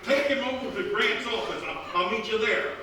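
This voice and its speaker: A man's voice: sits high, animated, moderate pace, pushed and bright. A man playing a folksy, plain-thinking sheriff with a hesitant, matter-of-fact manner in a live comic radio play. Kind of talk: live radio theatre comedy acting. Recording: echoey theatre, distant mic, faint noise.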